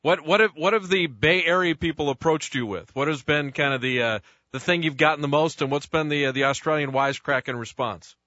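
A man hosting sports radio speaks in a bright, fairly high voice that sounds a bit muffled and dry. His questions ramble along with plenty of restarts and fillers. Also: a little fast, dry recording. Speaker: radio sports show host. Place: radio studio.

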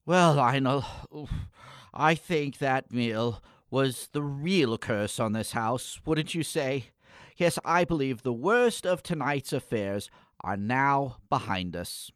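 A man playing a gloomy, pompous and exasperated schoolboy with a wordy, deliberate delivery in a comic radio play. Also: medium pitch, lively intonation, clean tone, no room sound, studio quality. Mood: ominous, deliberate.

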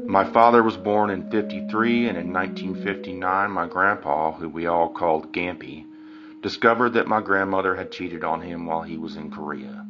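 A man calmly telling the story of his grandfather's life in plain narration. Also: low-pitched, normal speed. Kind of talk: personal family storytelling. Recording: slightly dull audio with music behind.

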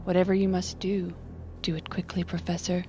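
An actress playing an apprehensive woman scientist in an audio horror drama, hushed and urgent. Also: lowish voice, level tone, a little fast, faint music behind, natural voice. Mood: fearful, urgent.

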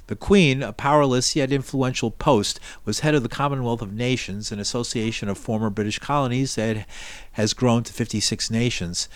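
A man hosting a news show, reading a scripted introduction in a steady, formal way. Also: medium pitch, normal speed, dry recording. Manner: steady, formal read delivery.

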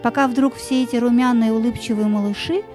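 A woman's voice: a little high, fairly even intonation, brisk, darkish tone, faint music behind. A woman narrator telling a scripted fairy tale as part of an audio play.

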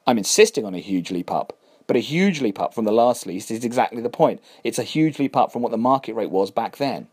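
A man's voice: mid-range voice, lively intonation, brisk, a little mellow, husky. A man doing a smug, wealthy landlord. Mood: condescending. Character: smug wealthy male landlord, cold and cutting.

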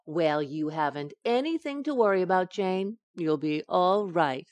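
A woman's voice: on the low side, animated, a little slow, a little mellow, no echo. An actress playing a soothing, affectionate, motherly friend in an old-time radio sitcom. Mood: reassuring. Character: reassuring, motherly woman comforting her pregnant friend.

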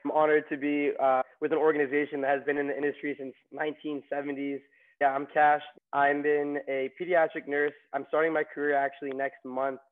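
A man's voice: on the high side, little pitch movement, fairly quick. A young man from New York, a new nurse, telling stories in a chatty interview with many fillers and restarts. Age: young adult. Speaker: nursing graduate guest. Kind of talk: casual interview storytelling. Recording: tinny phone-like line.

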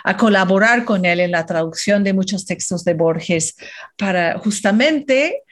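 A woman's voice: mid-range voice, expressive, a little slow. Reflective woman translator with a lilt. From Philippines and California. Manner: reflective, frequent filler "¿no?" and restarts. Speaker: literary translator and scholar. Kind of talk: thoughtful interview answers.

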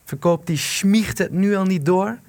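An actor playing a bitter, despairing husband in wartime hiding in a Dutch radio play, sarcastic and agitated. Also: male voice, high voice, measured pace, darkish tone. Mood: indignant.

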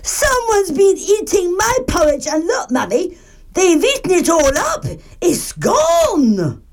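A woman voicing a small, excitable, tearful boy bear cub in a children's storybook, whiny and indignant. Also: very high voice, takes it slowly, pitched above the performer's own voice, full character voice. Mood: tearful, upset.

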